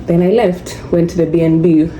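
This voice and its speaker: A woman's voice: fairly low pitch, fairly even intonation, a little fast, dark tone. A young woman from Kenya with a Kenyan accent, telling stories in a chatty vlog and slipping into Swahili slang. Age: young adult. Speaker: vlogger. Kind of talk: casual storytelling vlog. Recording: echoey room with faint noise.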